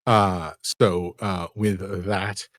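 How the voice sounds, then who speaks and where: A man running a tabletop game as dungeon master, halting and apologetic, laughing often. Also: low-pitched, flat delivery, fairly bright tone, no echo, studio quality.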